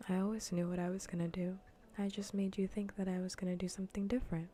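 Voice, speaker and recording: A woman livestream host speaking softly in a breathy, often whispered ASMR style, teasing and playful, close to the microphone. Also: medium pitch, little pitch movement, slight background noise. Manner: teasing, playful, slow and repetitive. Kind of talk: soft-spoken ASMR gaming livestream chat.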